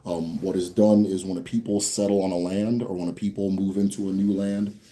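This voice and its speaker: A young American man hosting a casual Q&A for viewers. He talks bluntly, swears freely, rambles a bit, then slows down to explain. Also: sits low, flat delivery, dark tone. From United States. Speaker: host answering viewer questions. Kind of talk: casual livestream Q&A.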